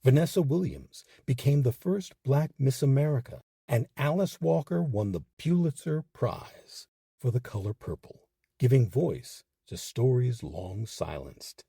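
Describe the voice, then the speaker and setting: Male narrator, low mellow voice, emphatic and measured, swinging widely in pitch for scripted documentary storytelling. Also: animated, gravelly, husky, dry recording, studio quality. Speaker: host and narrator of a history series.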